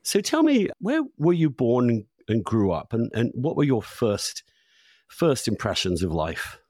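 A man's voice: medium pitch, sing-song, a little slow, darkish tone, no room sound, clean studio sound. A man hosting a podcast, introducing his guest and asking eager, interrupting follow-up questions. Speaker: podcast host. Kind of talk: conversational interview.

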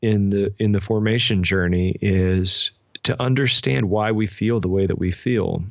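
A middle-aged man with a lowish voice explains his ideas in a conversational interview. He is reflective and measured, breaking phrases with small pauses. The sound is dry and a little dull. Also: steady tone, vocal fry, no room sound. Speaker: podcast guest, author and pastor. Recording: dull, slightly muffled audio.

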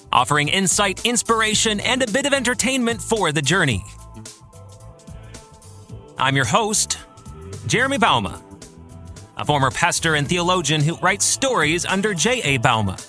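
American male podcast host, middle-aged. Slightly high, bright voice, earnest scripted read with emphatic phrasing. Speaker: podcast host, author and former pastor.

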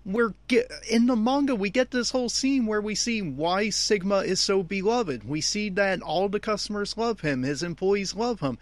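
A man's voice: high-pitched, normal speed, few pauses, no room sound. A man giving off-the-cuff, opinionated audio commentary on an anime episode, speaking emphatically.

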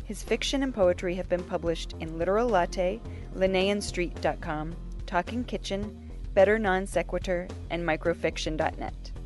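Low-voiced woman announcing over faint music. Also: normal speed, a little mellow. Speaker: podcast host. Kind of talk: scripted announcements.

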